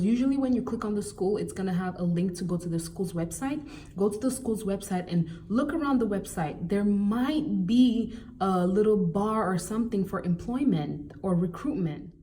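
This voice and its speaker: A young woman from Cameroon giving casual, animated job-hunting advice in a vlog, with exasperated asides. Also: medium pitch, moderate pace, recorded from a distance, slight background noise. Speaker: vlogger.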